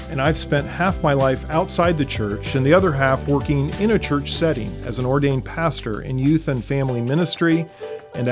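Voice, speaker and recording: A middle-aged man and pastor, co-hosting and reading a prepared introduction steadily. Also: medium pitch. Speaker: podcast co-host, pastor. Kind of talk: scripted intro. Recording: over clear guitar music.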